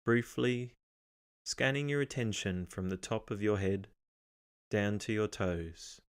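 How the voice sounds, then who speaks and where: A man narrating a guided meditation as the guide, speaking in a calm, measured, instructional way with pauses. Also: lowish voice.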